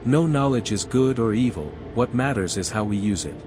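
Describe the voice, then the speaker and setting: A man playing a composed, scholarly envoy in a video game, speaking in a measured, articulate way. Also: mid-range voice, animated, natural voice. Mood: thoughtful. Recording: music behind the voice. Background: music.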